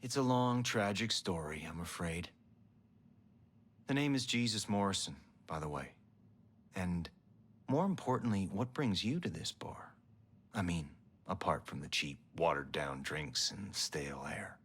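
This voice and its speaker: Husky, low, pompous bar musician. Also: male voice, animated, measured pace, a bit bright, full character voice. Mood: wry, weary. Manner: pompous, languid, theatrical. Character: vain, theatrical male bar musician, self-pitying and wry. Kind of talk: video game cutscene acting.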